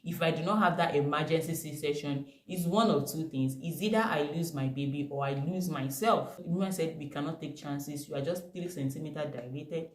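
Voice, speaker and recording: A young woman with a Nigerian accent telling a personal story to camera, animated and emphatic. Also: sits low, moderate pace, hardly any pauses. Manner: animated, emphatic retelling with bursts of laughter. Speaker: vlogger. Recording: echoey room.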